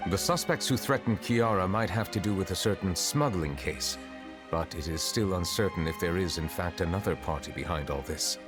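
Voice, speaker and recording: An actor playing an ancient dragon in human form who serves as a chief justice, speaking formally and solemnly in a measured way. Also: male voice, low voice, lightly acted. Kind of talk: video game voice acting. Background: game background music.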